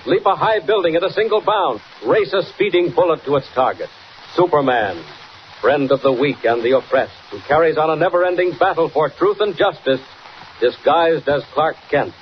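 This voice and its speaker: Declamatory American male radio announcer. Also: a little high. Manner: declamatory, emphatic. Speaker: announcer, narrator. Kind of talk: dramatic 1940s radio serial narration. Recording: muffled, hissy old 1940s radio recording.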